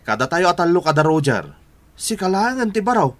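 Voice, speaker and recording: High-pitched nosy village buddy. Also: male voice, sing-song, brisk, fairly bright tone. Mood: curious, teasing. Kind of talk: Ilocano radio drama acting.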